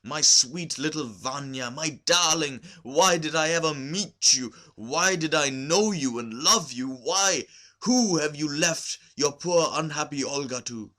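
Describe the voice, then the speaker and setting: Guy doing an earnest emotional wife from a storybook, voice pushed up, bright and dramatic. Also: fairly high pitch, unhurried, pitched above the performer's own voice. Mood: grief-stricken. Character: emotional, devoted young Russian wife, sobbing or earnest.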